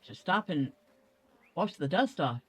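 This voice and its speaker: A woman's voice: on the low side, big pitch swings, a little slow, breathy edge. A woman hosting a casual let's play, thinking aloud playfully as she goes. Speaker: gaming video host.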